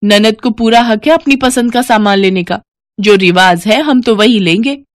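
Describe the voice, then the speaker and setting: A woman's voice: a little high, normal speed, no echo, clean studio sound, close to an everyday voice. A woman voicing a pushy, entitled young sister-in-law with a demanding manner in a cartoon story. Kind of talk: Hindi cartoon story voice acting.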